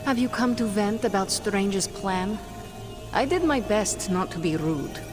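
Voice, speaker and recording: A woman's voice: medium pitch, animated, a little slow, lightly acted. A woman playing a stern, protective warrior in a video game cutscene, speaking bluntly and formally. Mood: candid. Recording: loud game music behind.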